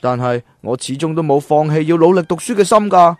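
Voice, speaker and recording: Children's radio play: humble, earnest young male scholar played by an actor, courteous, easily puzzled, medium pitch, dry sound. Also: lively intonation, a little fast, darkish tone, no echo, faint music behind, natural voice. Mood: resolute. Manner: earnest, polite, slightly bewildered. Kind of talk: children's radio drama acting.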